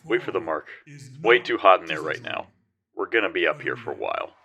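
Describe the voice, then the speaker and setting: A man's voice: deep voice, very animated, fairly quick, bright, forceful tone, highs cut off, full character voice. A man playing a stern, protective, sarcastic military operative in a sci-fi audio drama. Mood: curt. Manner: commanding, biting, sarcastic.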